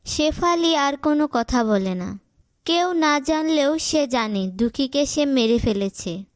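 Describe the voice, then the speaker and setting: Slow high-voiced woman storyteller. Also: lively intonation, dry recording, clean studio sound. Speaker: narrator. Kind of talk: scripted storybook narration. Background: background music.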